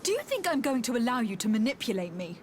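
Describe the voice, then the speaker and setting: A woman's voice: fairly high pitch, sing-song, quick pace, a little hiss or hum. An actress playing a defiant, commanding noblewoman envoy in a video game, with formal speech. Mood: indignant. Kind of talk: dramatic video game acting. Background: loud music.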